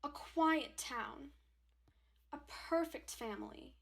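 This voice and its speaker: A high, theatrical ghost-podcast host. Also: female voice, expressive, takes it slowly. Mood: ominous, theatrical. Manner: theatrical, perky, self-important. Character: overdramatic, easily flustered female paranormal podcast host. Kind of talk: radio drama, spooky podcast hosting.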